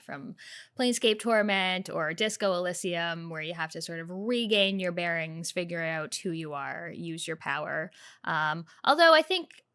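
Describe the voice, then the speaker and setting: Smooth, low-pitched woman reacting casually. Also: a bit bright. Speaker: host of a gaming reaction video.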